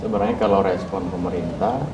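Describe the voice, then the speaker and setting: A man's voice: sits low, steady tone, quick pace, highs cut off, background noise. A man with an Indonesian accent, speaking as an activist guest and explaining his view in an interview.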